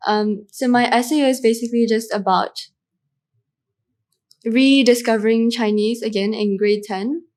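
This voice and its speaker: A woman's voice: a little high, steady tone, a little slow, a little mellow. A young woman from Malaysia, a student guest in an interview, answering thoughtfully with frequent fillers.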